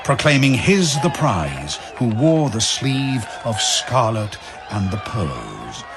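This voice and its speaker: A man's voice: mid-range voice, sing-song, slow, crowd noise. A man narrating epic verse as a scripted drama, in a grand, theatrical storytelling manner. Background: music.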